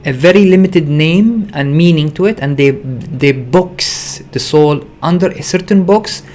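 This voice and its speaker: A man's voice: fairly high pitch, darkish tone, a little hiss or hum. A man hosting a livestream as a spiritual teacher, talking unscripted in an earnest way, repeating and rephrasing his ideas with frequent hesitations. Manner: earnest, repetitive, searching phrasing with frequent hesitations.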